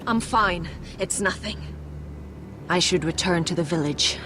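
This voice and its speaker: A woman's voice: on the low side, measured pace, close to an everyday voice. An actress voicing a proud young woman from a northern village, curt and urgent. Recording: noisy outdoor game ambience.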